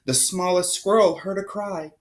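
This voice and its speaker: A woman reading a rhyming picture book aloud to children with expressive, dramatic storytelling. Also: lowish voice, normal speed. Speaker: storybook reader.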